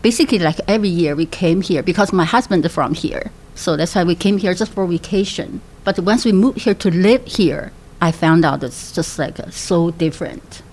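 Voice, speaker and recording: A woman in her fifties from China, speaking English with a Chinese accent as a second language, telling her story in a halting way with many fillers. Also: on the low side, a little mellow. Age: 50s. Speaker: interviewee, mother. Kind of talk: personal storytelling.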